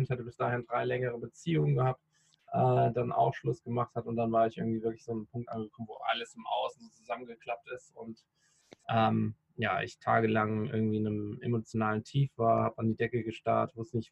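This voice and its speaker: Man in his thirties, gravelly, husky, dry close sound, reflective, hesitant interview guest. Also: mid-range voice, dry recording. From Rhineland, Germany. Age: 30s. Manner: reflective, hesitant, many fillers and restarts. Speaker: interview guest, coach and podcaster. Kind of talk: casual interview talk. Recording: dull, lo-fi sound.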